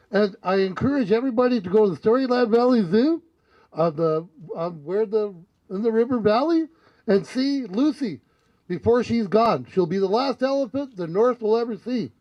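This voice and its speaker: A man's voice: high-pitched, no room sound, muffled recording. An older man, a council candidate, telling a rambling, earnest spiritual story into a handheld mic in an outdoor tent. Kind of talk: candidate forum speech. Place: outdoor tent at a community forum. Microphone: handheld mic on a stand.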